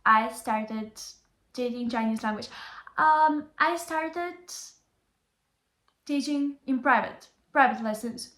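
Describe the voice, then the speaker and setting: An earnest young woman talks straight to the camera in a slightly high voice, repeating her key phrases to drive them home. The room around her is roomy and echoey. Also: slow pace, a bit bright. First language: Bulgarian. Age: young adult. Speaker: Chinese tutor. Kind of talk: motivational vlog talk. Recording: roomy echo.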